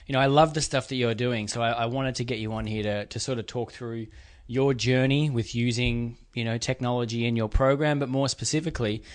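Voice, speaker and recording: A man's voice: mid-range voice, steady tone, a little fast. A man hosting a casual interview, conversational and encouraging with his guest. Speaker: podcast host, interviewer.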